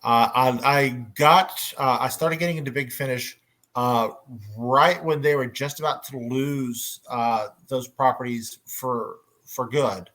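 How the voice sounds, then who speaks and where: A man moderating a casual convention panel, chatting in a hesitant, pausing way. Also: medium pitch, a bit bright.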